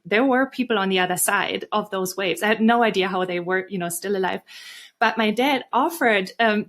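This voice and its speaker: A woman from Germany with a German accent, telling stories conversationally as a podcast guest. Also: fairly high pitch. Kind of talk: casual conversational storytelling.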